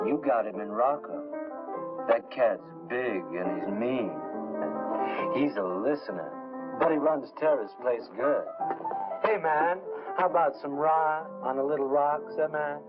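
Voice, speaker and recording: An actor playing a giggly hipster jazz musician in a 1950s film, drawling in chuckly beatnik slang. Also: male voice, mid-range voice, unhurried, close to an everyday voice. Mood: loose, sly. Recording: tinny old film soundtrack, loud music.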